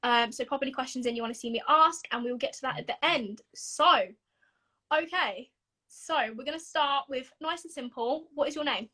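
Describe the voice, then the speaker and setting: A woman's voice: high voice, normal speed, bright, forceful tone. A young woman, hosting a casual live video-call interview, chatty and giggly with lots of ums. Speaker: podcast host.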